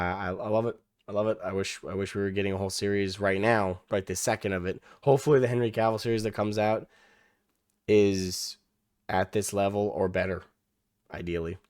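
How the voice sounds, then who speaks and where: A young man hosting a reaction video, losing his voice and hoarse, rambling casually with many restarts and the odd swear. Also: fairly low pitch, a little mellow, no room sound, studio quality.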